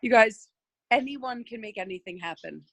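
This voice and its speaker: Teary woman, soft and halting. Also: a little high, no echo. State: emotional, near tears. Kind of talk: casual group video chat.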